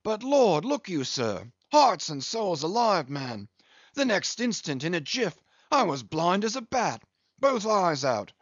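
A man voicing a cheerful one-armed English sea captain who spins a lively yarn. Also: high voice, no room sound, muffled recording, voice pushed up, exaggerated voice. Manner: hearty, lively yarn-spinning.

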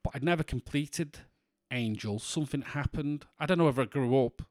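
A man from in England co-hosting a podcast in casual chat, with a monotone voice and a rambling way of talking full of restarts. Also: mid-range voice, fairly quick, dry recording, clean studio sound. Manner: rambling, many restarts and fillers. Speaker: podcast co-host.

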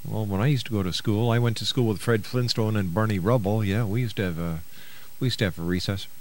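Male radio host, middle-aged, low voice, interview format. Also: fast talker, darkish tone.

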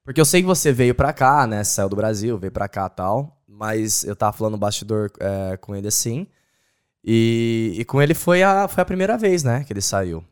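Animated Brazilian man interviewing. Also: lowish voice, moderate pace, smooth. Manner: slangy, reacts with exclamations. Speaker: podcast host and interviewer. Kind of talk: casual interview chat.

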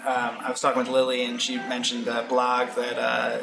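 A man co-hosting a travel podcast, talking in a rambling, animated way. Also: mid-range voice, moderate pace, gravelly, echoey room, over music, rough recording. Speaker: podcast co-host. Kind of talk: casual interview talk.

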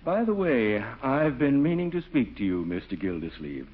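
Older clergyman, formal and sanctimonious, husky with lively intonation, muffled old radio comedy. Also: mid-range voice. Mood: solemn, courteous. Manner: formal, scripture-quoting, measured. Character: courtly, sanctimonious older clergyman, mildly absent-minded. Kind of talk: 1940s radio comedy acting. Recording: muffled 1940s radio broadcast.

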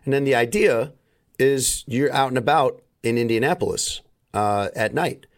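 A middle-aged man talks casually on a podcast at a middle pitch, telling loose rambling stories full of fillers. His voice is still recovering and sits a little narrow in range. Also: normal speed. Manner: rambling storyteller, frequent fillers. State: recovering voice, slightly limited range. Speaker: podcast host.

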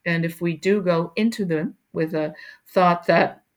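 A woman's voice: lowish voice, unhurried. An older woman being interviewed, speaking reflectively about her work with many fillers and restarts. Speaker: guest, education researcher. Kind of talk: conversational interview.

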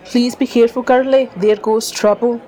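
A woman playing a gentle, cautious motherly woman. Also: a little high, fairly even intonation, normal speed, natural voice. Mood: concerned. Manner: gentle, warning.

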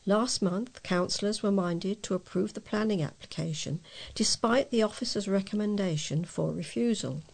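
Female volunteer newsreader, mid-pitched with noticeable creak, informal amateur delivery of local stories. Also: moderate pace, darkish tone. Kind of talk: reading local news aloud.

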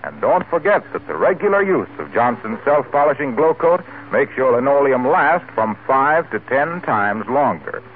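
A man's voice: medium pitch, big pitch swings, moderate pace, hardly any pauses. An American man working as a 1940s radio announcer, reading scripted introductions and advertising copy. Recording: tinny, hissy 1940s radio broadcast.

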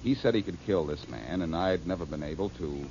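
A man's voice: sits low, brisk, darkish tone, natural voice. An actor playing a cocky, self-assured male detective in a 1940s radio drama. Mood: insistent. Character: tough, wisecracking male detective. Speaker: lead detective. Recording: muffled old radio broadcast, faint hiss.

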